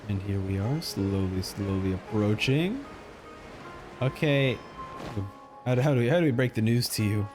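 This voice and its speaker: A man reacting live to a game in casual, animated commentary, often repeating his phrases. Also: fairly low pitch, moderate pace, music in the background.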